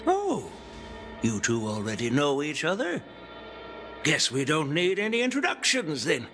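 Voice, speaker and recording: A lively, genial old general. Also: male voice, on the high side, a little slow, exaggerated voice. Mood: delighted, curious. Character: genial, humble old male general and master smith. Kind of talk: English game voice acting. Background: game music.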